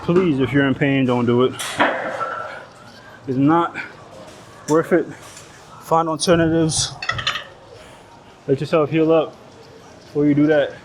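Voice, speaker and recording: A man's voice: a little high, steady tone, slow, pauses often, darkish tone, slight background noise, rough recording. A man from Nigeria with a Nigerian accent, speaking English as his second language and chatting casually to the camera mid-workout in a slangy, joking, sometimes profane way, recorded on a clip-on mic. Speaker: fitness vlogger. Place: busy indoor gym. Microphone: clip-on body mic. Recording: gym chatter behind.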